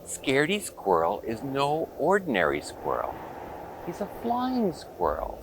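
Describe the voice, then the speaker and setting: A man reading a picture book aloud to children in an expressive, dramatic storytelling manner. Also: medium pitch, background noise. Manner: expressive, dramatic pauses and exclamations.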